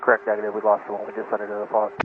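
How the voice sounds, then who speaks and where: A man talks as an airline pilot in very fast, procedural bursts. He is heard over a narrow, tinny cockpit radio with faint noise behind him. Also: fairly low pitch, monotone. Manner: clipped, procedural phraseology. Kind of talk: radio readbacks to air traffic control.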